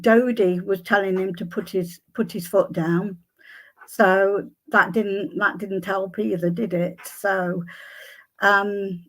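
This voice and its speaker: Slow, hesitant older Englishwoman, a bit croaky. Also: mid-range voice, fairly even intonation, poor audio. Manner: hesitant, many ums and restarts. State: slightly croaky voice. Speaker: psychic guest. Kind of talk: conversational interview answers.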